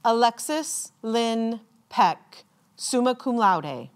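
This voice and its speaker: A woman with a slightly high voice serves as a formal ceremony announcer. She reads names extremely slowly, enunciating each one deliberately and leaving measured pauses. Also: animated. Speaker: commencement announcer reading graduates' names.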